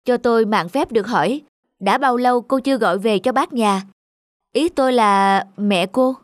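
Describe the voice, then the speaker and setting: A woman voicing an earnest, considerate male repairman in a cartoon, polite and measured with some hesitation. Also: on the high side, no room sound, close to an everyday voice. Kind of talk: cartoon dubbing.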